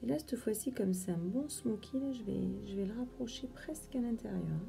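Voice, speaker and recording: A woman's voice: a little high, normal speed, gravelly, dark tone, faint music behind. A young woman chatting through a makeup tutorial, explaining each step as she works. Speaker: beauty vlogger.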